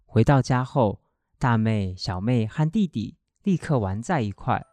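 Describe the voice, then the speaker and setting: A man's voice: medium pitch, lively intonation, unhurried, a little mellow, no echo. Male storyteller, radio drama narration: mid-range voice, scripted read, dry studio sound.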